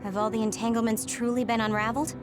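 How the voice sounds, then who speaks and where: Analytical decisive soldier lady, actress, slightly high voice, game music behind. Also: flat delivery, quick pace, natural voice. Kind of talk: dubbed video game cutscene dialogue.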